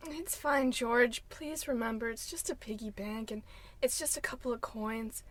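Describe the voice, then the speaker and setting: A woman playing a patient, hopeful but gently exasperated housewife in old-time radio comedy style, earnest and reproachful. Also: a little high, normal speed, close to an everyday voice. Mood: gentle, soothing.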